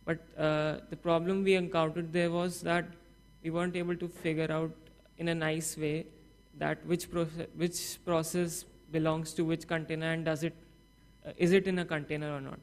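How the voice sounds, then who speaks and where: Young man presenting a conference talk, halting. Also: a little high, level tone. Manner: halting, many fillers and restarts. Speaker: student project presenter. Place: conference hall stage.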